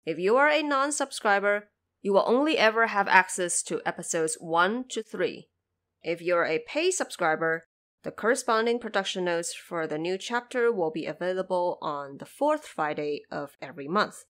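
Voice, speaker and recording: A woman from China reading a scripted announcement to listeners as host and producer, with emphatic, clearly structured delivery, recorded in a bedroom home studio. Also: fairly low pitch, lively intonation, moderate pace, a bit bright. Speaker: podcast host and audio drama producer.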